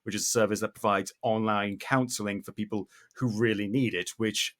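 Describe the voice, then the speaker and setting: A man's voice: on the low side, steady tone, fairly quick, fairly bright tone. A man from the UK, hosting an interview in a casual, effusive way and swearing freely. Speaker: podcast host. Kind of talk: casual, enthusiastic interview chat.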